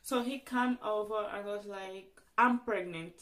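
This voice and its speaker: A young woman whose first language is Portuguese, telling a personal story in a vlog in an earnest, halting way, often restarting her sentences. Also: medium pitch, fairly bright tone. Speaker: vlogger.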